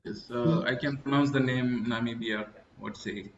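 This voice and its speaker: A man's voice: medium pitch, steady tone, moderate pace. A man helping to run an online meeting, informally inviting a participant to speak. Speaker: organizer assisting the host. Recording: echoey, dull, faintly noisy online call.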